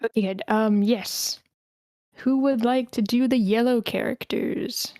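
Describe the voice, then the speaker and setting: A woman's voice: on the high side, measured pace, dark tone, dry recording. Casual woman with a dry, close sound. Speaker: host of an online script table read. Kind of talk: casual group organizing chat.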